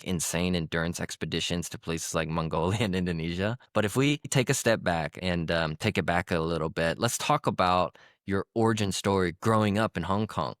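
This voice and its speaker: A man's voice: sits low, no echo, studio quality. A man hosting a podcast, reading a polished intro and then chatting casually with his guest. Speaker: podcast host.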